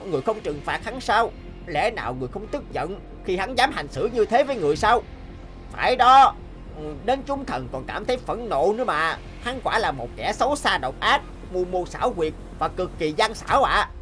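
A man plays a loyal cartoon angel warrior, high in pitch and animated. The manner is indignant and emphatic yet deferential. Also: normal speed, faint music behind. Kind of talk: cartoon voice acting.

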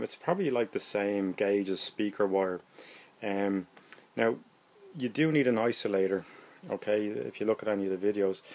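A man's voice: on the low side, a little mellow. A man in his forties with an Irish accent, giving a casual, unscripted how-to explanation in a hesitant, plain-spoken way, recorded indoors on a phone. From Ireland. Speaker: hobbyist presenter. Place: indoors in a room at home.

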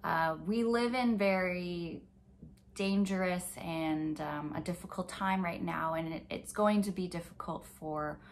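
A woman's voice: fairly low pitch, a little slow, a little hiss or hum. A woman in her twenties, a playwright talking casually and somewhat hesitantly to camera. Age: 20s. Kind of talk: casual, reflective talk to camera. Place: home office, indoors.

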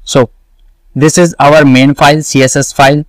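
A man walking through a coding tutorial, slowly repeating each step and answering his own questions. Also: on the high side, level tone, dry recording. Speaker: web development tutor. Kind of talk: screen-recorded coding tutorial.

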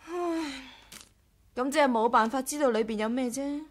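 An actress with a Hong Kong Cantonese accent playing a quick-witted, caring, direct woman in a 1980s radio drama. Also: high voice. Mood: curious.

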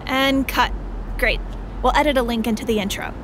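A woman's voice: a little high, moderate pace, background noise, lightly acted. A woman playing a wry, businesslike news camera operator in an audio drama. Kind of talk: naturalistic audio drama acting.

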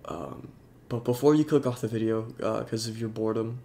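Young man, gravelly congested voice, halting and soft-spoken, faint hiss behind. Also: lowish voice, animated. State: congested, sniffling. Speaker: YouTuber. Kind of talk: casual talk over gameplay.

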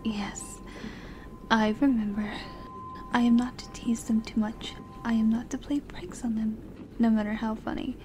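A woman plays a poised young assistant with a slightly high voice who whispers softly in a formal, teasing way. Her speech stays prim and courteous. A clear ambience hiss runs under her voice. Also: fairly even intonation, unhurried, lightly acted. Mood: polite whisper. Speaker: personal assistant to the listener. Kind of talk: ASMR audio roleplay.

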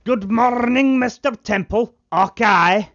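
A man's voice: extremely high pitch, slow pace, a bit bright, full character voice. A man playing a keen, solemn caricature policeman in a parody of old radio drama. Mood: earnest, solemn. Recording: muffled vintage radio sound.

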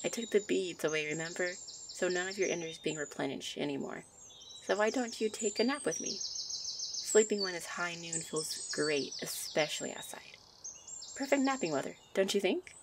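A woman voicing a shy, gentle young nature fairy who teaches affectionately in a soft ASMR roleplay. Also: lowish voice, expressive, moderate pace, background noise. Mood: affectionate, coaxing. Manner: soft, encouraging, affectionate, giggly. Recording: birdsong and outdoor ambience behind. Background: birdsong ambience.

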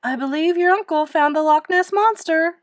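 Narrator as an excitable, high-pitched storybook woman librarian. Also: moderate pace, dry recording, clean studio sound, close to an everyday voice. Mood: amazed. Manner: animated, exclaiming. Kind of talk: children's storybook character voice.

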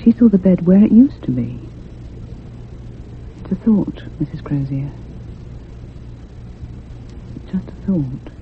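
An actress playing a thoughtful, troubled young woman in a radio ghost drama, speaking in a grave, measured, haunted way. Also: lowish voice, animated, vocal fry. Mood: subdued, thoughtful. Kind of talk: old-time radio drama narration. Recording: muffled phone-like radio recording with hum.

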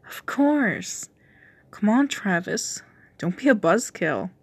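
Pushy young temptress for a story reading, voiced by a woman reader, slow, coaxing, half-whispered. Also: medium pitch, expressive, slightly rough, no room sound, exaggerated voice.

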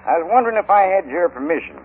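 An actor playing a shifty, folksy sheep rancher in a radio western, drawling in a rural Western American accent. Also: male voice, on the high side, animated, racing, husky. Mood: folksy, offhand. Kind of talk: vintage radio western acting. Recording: tinny, hissy old radio recording.

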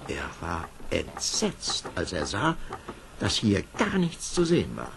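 A man's voice: deep voice, huge pitch swings, slow pace, gravelly, heavily acted. A man narrating a fairy tale as a scripted storyteller for an audio play. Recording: old cassette, faint noise.

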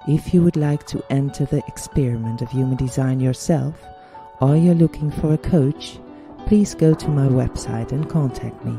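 A woman with a Dutch accent hosts her own podcast, speaking calmly and deliberately. Her voice is very dark and mellow, with a gravelly edge. Soft music plays faintly underneath. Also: very deep voice. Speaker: coach and podcast host. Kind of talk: reflective solo podcast monologue.

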